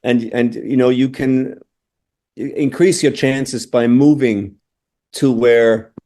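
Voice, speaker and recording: Casting: middle-aged man from austria, warm dark tone, reflective guest, lots of "you know". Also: medium pitch, steady tone, takes it slowly. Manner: thoughtful, restarts phrases, frequent "you know". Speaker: interview guest, drummer. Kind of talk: casual interview answers.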